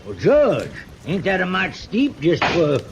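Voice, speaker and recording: An actor playing a frightened old drunkard in a radio western, with a folksy, rambling American manner of pleading. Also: male voice, high voice, huge pitch swings, unhurried, gravelly, noisy background, exaggerated voice. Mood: pleading, sheepish. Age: older. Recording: vintage radio recording, background murmur.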